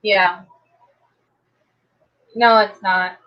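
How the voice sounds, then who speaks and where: A woman's voice: mid-range voice, fairly even intonation, drawn-out words. A woman in a casual panel discussion, explaining her points a bit tentatively over dull, lo-fi call audio. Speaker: talk show panelist.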